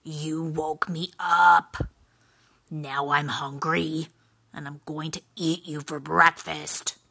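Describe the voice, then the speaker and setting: A huge, ill-tempered troll from a children's story. The voice is low and very gravelly, with a husky, forceful edge. Lines come out in a slow, booming growl. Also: female voice, flat delivery, full character voice. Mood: menacing, angry. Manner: slow, loud, booming delivery. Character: big, terrifying, grumpy troll under a bridge.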